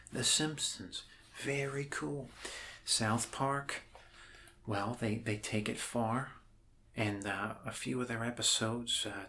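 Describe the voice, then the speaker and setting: A man in an ASMR role-play playing a friendly doctor who rambles casually and haltingly to reassure an alien patient. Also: mid-range voice, fairly bright tone, slight background noise.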